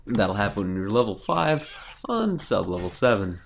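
A man's voice: on the low side, sing-song, moderate pace, vocal fry, a little hiss or hum. A young man with a cold, nasal and sniffly, giving casual, sarcastic let's play commentary and swearing now and then. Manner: sarcastic, self-mocking, swears.

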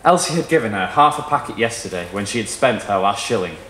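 Animated young man reading a story aloud. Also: fairly low pitch, quick pace. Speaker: story reader. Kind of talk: expressive live reading of literary fiction. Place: small indoor performance room with wooden wall. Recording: roomy live venue sound.

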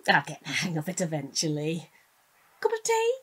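A British actress playing a chatty middle-aged detective's wife in an audio drama, in natural domestic dialogue. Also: female voice, sits low, wildly swooping pitch, moderate pace, fairly bright tone, slightly rough, heavily acted. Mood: teasing.

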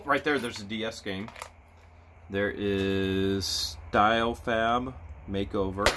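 A man in his thirties digging through a storage unit outdoors, talking excitedly to his phone camera in a casual vlog. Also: on the low side. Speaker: storage unit treasure hunter. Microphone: handheld phone camera. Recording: noisy outdoor phone recording.

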